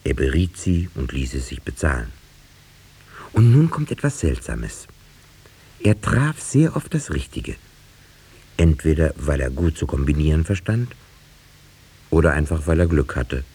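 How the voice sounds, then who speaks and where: Deep, husky wry schoolteacher. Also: male voice, sing-song, warm tone, full character voice. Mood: wry, storytelling. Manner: dryly ironic, measured, reflective. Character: wry, sceptical village schoolteacher telling the tale. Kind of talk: 1950s radio play narration and acting.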